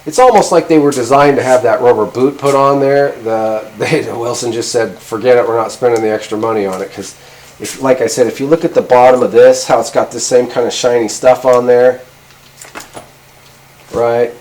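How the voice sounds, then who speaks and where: A man's voice: mid-range voice, steady tone, normal speed, a little mellow, rough recording. A middle-aged man casually demonstrating gear as a video host, talking in a rambling, hesitant, informal way. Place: indoor garage workshop at a workbench.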